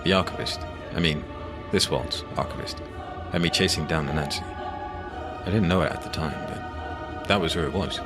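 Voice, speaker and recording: Very deep-voiced man narrating over music. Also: normal speed. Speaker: audio fiction narrator. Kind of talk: dramatic first-person scripted story narration.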